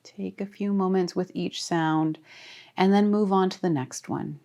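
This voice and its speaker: A woman leading a guided relaxation as a yoga teacher, speaking calmly and soothingly with deliberate pauses. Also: lowish voice. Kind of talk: guided meditation.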